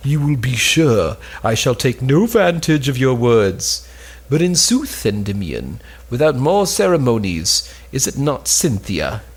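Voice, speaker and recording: A man reading as a husky, animated jealous noblewoman. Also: medium pitch, unhurried. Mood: challenging. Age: young adult. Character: jealous, probing noblewoman in love. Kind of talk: cold read of Elizabethan stage comedy.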